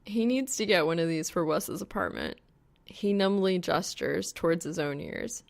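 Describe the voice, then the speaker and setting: A woman narrates a piece of fan fiction in an audiobook style. Her voice sits a little low and has a clearly creaky, gravelly edge, with expressive scripted delivery. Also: moderate pace.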